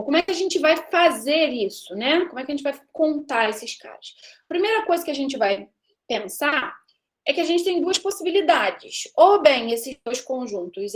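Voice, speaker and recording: A woman in her thirties from Brazil giving a live classroom lecture, chatty and teasing with her students, often checking in with them. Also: high voice. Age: 30s. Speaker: university lecturer.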